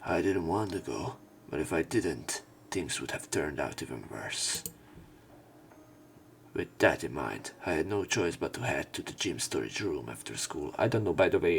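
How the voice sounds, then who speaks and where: A man reading a visual novel aloud as a let's play narrator, in an expressive storytelling style. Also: low-pitched, gravelly, a little hiss or hum.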